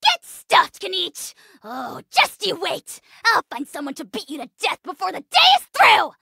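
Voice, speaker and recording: A woman voicing a tiny, bad-tempered male dragon spirit in a video game, pompous and bratty, with a squawky, gravelly edge. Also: very high voice, sing-song, normal speed, sharp tone, dry recording, studio quality, exaggerated voice. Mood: furious. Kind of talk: cartoonish video game creature acting.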